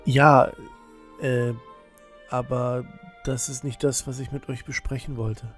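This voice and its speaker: A man's voice: medium pitch, little pitch movement, slow, fairly bright tone, faint music behind. A man playing an earnest, shy teenage village boy in a fantasy audio drama, hesitant and unsure. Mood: nervous.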